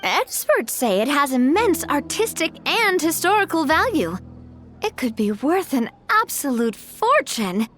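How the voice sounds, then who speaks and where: An actress playing a lively, sociable young woman in a video game, expressive and theatrical. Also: sits high, a little slow, faint music behind, heavily acted. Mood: proclaiming. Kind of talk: video game character acting.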